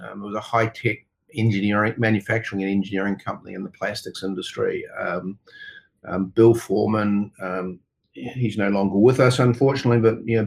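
Halting New Zealand man in conversation. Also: fairly low pitch. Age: middle-aged. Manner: halting, rambling, many "you know"s. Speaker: interview guest, tech company co-founder. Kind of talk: conversational interview answers.

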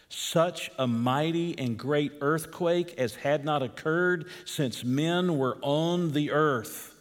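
Southern preacher in a reverberant hall. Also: male voice. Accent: Southern US (Georgia). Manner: animated, rising to emphatic peaks, drops low and slow for scripture. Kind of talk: expository sermon.

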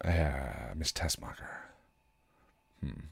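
A man's voice: very deep voice, lively intonation, slow pace, gravelly, slightly rough, no room sound, studio quality. A man hosting a podcast, giving casual recommendations in an enthusiastic, rambling way, thinking aloud as he goes. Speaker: podcast host recommending shows. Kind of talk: casual chat, streaming recommendations.

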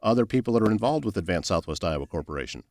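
A man's voice: low voice, expressive, rapid-fire, creaky, darkish tone, no echo, clean studio sound. A man hosting a podcast, interviewing guests in a conversational style. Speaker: podcast host.

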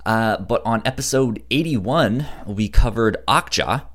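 A man with a stutter and a halting delivery, casually discussing films as a podcast co-host. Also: lowish voice, expressive, a bit bright. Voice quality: stutter, repeats first sounds of words. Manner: halting, hesitant delivery with frequent restarts.

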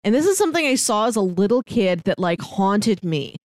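A woman podcast co-host with a mid-range voice, recorded close and dry. She talks casually and retells stories in an animated way, slipping into little imagined quotes as she goes. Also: medium pitch, brisk, no room sound. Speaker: podcast co-host giving recommendations. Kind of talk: casual chat about films, books and audio dramas.

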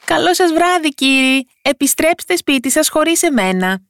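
An adult narrator playing a tiny storybook boy with a very high, swooping voice. He is bold and cheeky, calling out as if he wants the whole house to hear. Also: sing-song, heavily acted. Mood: mocking. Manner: bold, mocking, calling out loudly. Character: tiny cheeky boy, thumb-sized fairy-tale hero. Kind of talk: storybook character voice.

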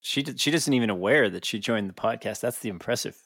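A man's voice: lowish voice, fast talker, noisy background. A man co-hosting a sports podcast in casual, bantering conversation. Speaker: podcast co-host.

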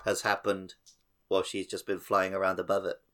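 A man's voice: sits low, completely flat delivery, a little fast, fairly bright tone. A man co-hosting a podcast, discussing a game in a casual, conversational style. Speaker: podcast host.

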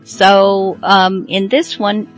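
Slow-talking older woman host over music. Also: mid-range voice, level tone, low-quality recording. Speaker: radio show host. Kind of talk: casual show introduction.

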